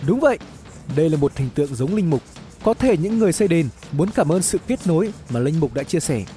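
A narrator puts on a pompous cartoon explorer, eager and dismissive. The male voice is high and mellow, quick to get excited, over soft music. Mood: musing.

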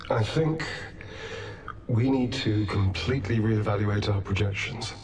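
An actor playing a weary, conciliatory colony scientist in a video game, speaking in a measured, guarded way. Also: male voice, on the low side, a crowd in the background. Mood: worried. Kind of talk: naturalistic video game acting. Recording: distant voice, noisy ambience behind.

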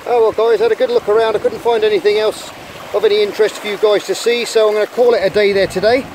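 A man's voice: high-pitched, steady tone, fast, rough recording. Chatty man on a windy beach. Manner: chatty and enthusiastic, quietly talking while handling creatures. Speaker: channel host. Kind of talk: casual nature vlog narration. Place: rocky beach rock pools outdoors. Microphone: camera held in hand at the shore. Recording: outdoor wind noise on mic.

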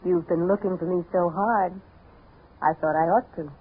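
An actress playing a calm, secretive femme fatale in a 1950s radio drama, measured and enigmatic. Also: female voice, fairly low pitch, slight background noise, lightly acted. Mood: cool, secretive. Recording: tinny 1950s radio recording.

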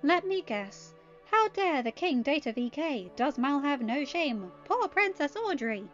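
A sardonic teenage girl from a story, read aloud by a woman. She is dry and scoffing, and her voice sits fairly high. Faint music plays under a slightly dull recording. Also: sing-song, unhurried. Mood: scornful.

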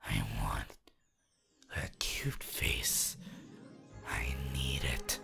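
A man voicing a creepy, possessive young anime villain in a slow, breathy, rasping whisper for an ASMR roleplay. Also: a bass voice, sharp tone, soft background music, voice pushed down, exaggerated voice. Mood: obsessive, craving. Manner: slow, obsessive cooing.

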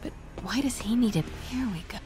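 A woman's voice: on the high side, expressive, brisk, lightly acted. An actress playing a determined young woman sneaking around in a video game, whispering to herself. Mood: tense, hushed.

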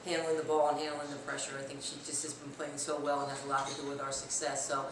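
A woman's voice: low-pitched, monotone, fast. A woman in her forties, a basketball coach, answering postgame interview questions. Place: indoor room with block and stone wall. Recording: very echoey, distant, noisy room.

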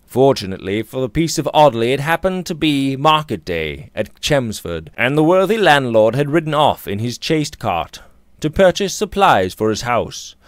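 A man's voice: medium pitch, very animated, moderate pace, no room sound. A man in his thirties reading literary fiction aloud in a theatrical, old-fashioned storytelling manner, close on a microphone. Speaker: audiobook reader. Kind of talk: scripted literary narration. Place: small room indoors. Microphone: close condenser mic on a boom arm.

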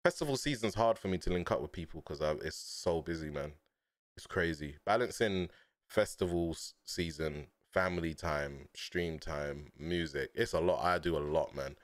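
A man, chatting casually on a stream from a small dim room through a headset, telling stories in relaxed slang and laughing often. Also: very deep voice, normal speed, dry recording, clean studio sound. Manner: laid-back slangy storytelling, laughs often.

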